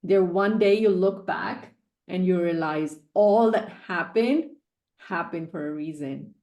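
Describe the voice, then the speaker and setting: A woman in her forties speaks as a podcast guest, her voice sitting a little low. She talks earnestly and repeats her key phrases to drive them home. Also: a little slow, darkish tone, echoey room. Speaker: guest, sales coach. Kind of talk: personal story in a podcast interview.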